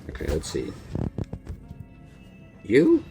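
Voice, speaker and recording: A man's voice: sits low, wildly swooping pitch, drawn-out words, gravelly, mellow, husky. A man doing casual game reaction commentary, talking to himself expressively as he plays. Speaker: gaming video host. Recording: game music behind voice.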